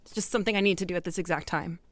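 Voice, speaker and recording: An actress playing an anxious, wry young woman who confides in a candid, halting, rambling way in an audio drama. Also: on the low side, dry recording, lightly acted. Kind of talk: naturalistic audio drama dialogue.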